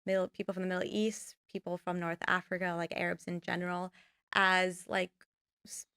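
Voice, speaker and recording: A woman's voice: lowish voice, level tone, moderate pace, bright, forceful tone, clear, resonant tone, no room sound, clean studio sound. A young woman, a filmmaker guest, talking casually in a podcast interview and rambling with many fillers. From United States. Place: podcast studio.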